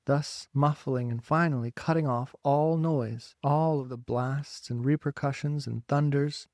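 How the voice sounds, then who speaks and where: A man reads literary fiction as an audiobook narrator. His mid-pitched voice moves very slowly, setting each phrase apart with dramatic weight. The sound is dry, with no room around it. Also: fairly even intonation, a little mellow, no echo.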